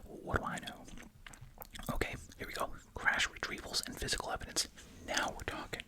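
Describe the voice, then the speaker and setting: An ASMR creator speaks entirely in a hushed, breathy whisper. The delivery moves between reading aloud and casual, musing asides, often repeating short phrases. Gum is being chewed throughout the talk. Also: normal speed. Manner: chewing gum while talking.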